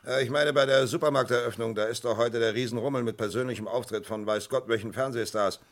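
An actor playing a laid-back, flippant police assistant in a 1970s radio crime drama. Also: male voice, mid-range voice, fairly even intonation, fast talker, lightly acted. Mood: casual, flippant.

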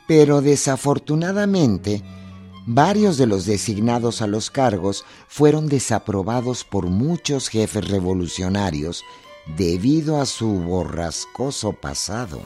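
A man's voice: lowish voice, big pitch swings, unhurried, mellow, faint music behind. A man giving sober scripted narration for a historical radio drama. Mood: sober, measured.

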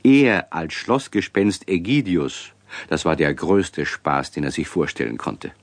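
Very deep, lively male storyteller. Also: unhurried, dry recording. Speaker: narrator. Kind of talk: scripted storytelling narration for children's radio play. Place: dry studio.

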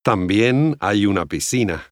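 Guy reading lesson phrases slowly, lowish voice, careful diction, swingy intonation. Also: lively intonation, no room sound, studio quality. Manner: deliberate, clearly enunciated. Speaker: language course model speaker. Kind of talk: scripted phrase modelling for learners.

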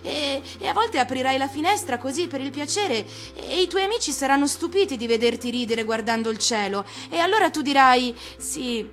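A woman voicing a tender, wistful little boy in a storybook, earnest and halting, often repeating words. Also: high-pitched, animated, fairly bright tone, faint music behind, voice pushed up. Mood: bright, playful. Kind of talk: dramatic storybook reading.

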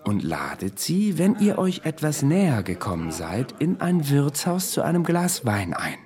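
Sly old-time confidant in a radio play, highish voice, really swoopy and insistent. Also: male voice, wildly swooping pitch, measured pace, a little mellow. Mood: sly, coaxing. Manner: archaic formal address, insistent. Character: scheming male confidant urging and scolding a poet friend. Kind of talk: German radio drama acting in a period setting.

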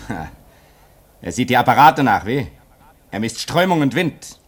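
A man's voice: medium pitch, big pitch swings, a little slow, slightly rough. An actor playing a bitter, restless man of twenty-five at a polar station in a radio play, brooding and sardonic in clipped phrases. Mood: probing, tense. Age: young adult. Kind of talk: old German radio play acting.

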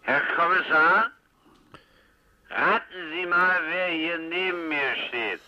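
Ein Schauspieler spielt in einem Krimihörspiel der 1950er einen leicht angetrunkenen, frech stichelnden, prahlerischen Reporter am Telefon. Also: male voice, fairly high pitch, lively intonation, slow. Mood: teasing, tipsy. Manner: teasing, boastful, jovial. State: slightly drunk. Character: tipsy, cheeky male reporter phoning a police inspector. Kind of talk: 1950s German radio crime drama acting. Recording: dull, band-limited old radio recording.

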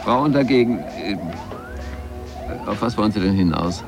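Glib, low-voiced wisecracking comedian over music. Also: male voice, lively intonation, measured pace. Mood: teasing, evasive. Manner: playful, rambling, ironic, stop-and-start hesitations. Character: glib male comedian, flirtatious, wisecracking, evasive under questioning. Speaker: comedian being interviewed. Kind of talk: 1980s German radio drama acting. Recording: music behind the voice.

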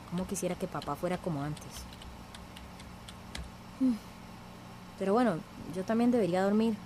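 A woman's voice: mid-range voice, warm tone, slight background noise, close to an everyday voice. A woman playing an earnest, determined daughter in a radio drama, plaintive and insistent. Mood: sad. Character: earnest, determined daughter attached to her land.

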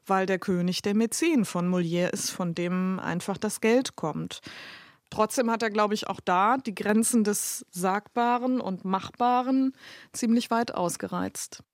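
A woman's voice: medium pitch, a little mellow, no echo. Woman academic explaining, close dry recording. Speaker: academic expert interviewee. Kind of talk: spontaneous explanatory interview talk.

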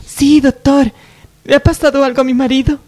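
A woman's voice: high voice, little pitch movement, moderate pace, dark tone, lightly acted. A woman playing a worried, fretful mother and wife in a radio soap melodrama. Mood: anxious, reproachful. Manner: anxious, fretful.

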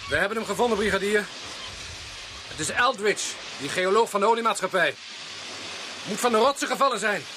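A man's voice: high voice, lively intonation, a little fast, pauses often. An actor playing a chatty, bluff, seasoned policeman in a radio drama, casual and dryly joking. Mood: grim, urgent. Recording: clear background noise, outdoor vehicle ambience.

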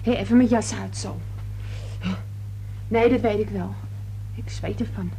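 A woman's voice: mid-range voice, steady tone, a little slow, warm tone, a little hiss or hum, natural voice. An actress playing a gabby, indignant young working-class cleaning girl in a radio play, talking colloquially. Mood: chatty, agitated.

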